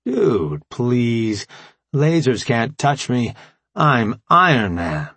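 A man's voice: medium pitch, sing-song, extremely slow, a bit bright, husky, no room sound, exaggerated voice. A man voicing an eager, cocky, playful young kid brother as a character in an audiobook, with casual boastful delivery. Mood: boastful, excited.

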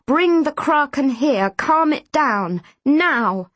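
A woman's voice: high-pitched, lively intonation, slow, no echo, voice pushed up. A woman voicing a commanding male mentor in a children's story, urgent and instructing. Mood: urgent coaching. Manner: forceful, instructing.

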